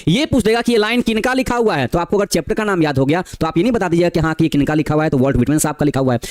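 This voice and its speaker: High-voiced tutor. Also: male voice, no echo. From Bihar, India. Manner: explains poem lines in Hindi, reading English verse slowly; addresses students as "bachche", asks rhetorical questions. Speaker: teacher. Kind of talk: exam-prep class lecture.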